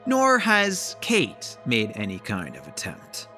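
An actor playing a bitter, middle-aged grieving father in an audio drama, speechifying accusingly at a town with drawn-out emphasis. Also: mid-range voice, huge pitch swings, sharp tone, soft background music, full character voice. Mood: angry rallying. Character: bitter grieving father rousing a town against his enemy.

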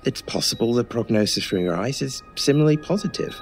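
A man playing a gentle, reassuring hospital nurse in an audio drama, with soothing and hesitant asides. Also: medium pitch, animated, fast talker, faint music behind, lightly acted. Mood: frank reassurance. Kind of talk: audio drama acting in old-time radio manner.

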